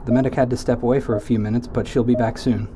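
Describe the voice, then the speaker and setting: A man's voice: medium pitch, steady tone, fast talker, background noise, lightly acted. Nervous awkward young crewman, played by an actor. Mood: rehearsing. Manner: nervous, stammering, literal-minded. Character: Robbie, awkward young man on a spaceship crew.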